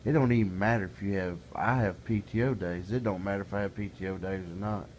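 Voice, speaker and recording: A middle-aged man with a deep, low voice talks straight to the camera in a folksy, plainspoken way. He grows heated and exasperated as he goes. A faint hiss sits under the recording. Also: steady tone, fast. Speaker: vlogger. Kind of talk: casual ranting monologue.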